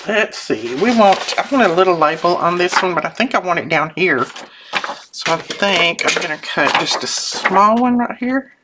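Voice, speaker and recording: An older woman hosting a crafting channel, chatting casually and homely while she works, her voice lowered by sinus trouble. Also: expressive, moderate pace, background noise. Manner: chatty, homey, self-interrupting, chuckles often. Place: home craft desk.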